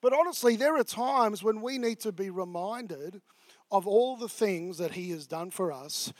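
Australian male pastor, higher register, chatty filler-heavy preaching, dry close mic. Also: expressive, measured pace, no room sound. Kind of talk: sermon.

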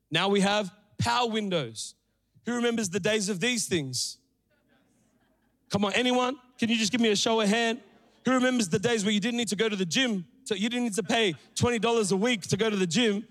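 Energetic male preacher from Sri Lanka, high voice, playful asides, church hall reverb. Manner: energetic, joking, calls out to the crowd. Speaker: pastor. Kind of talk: sermon. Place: church auditorium.

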